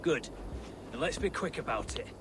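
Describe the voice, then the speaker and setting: A man's voice: on the high side, lively intonation, moderate pace, background noise, lightly acted. A man playing a blunt warlord nobleman in a video game cutscene, speaking in salty, archaic, declamatory lines. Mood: brisk, commanding.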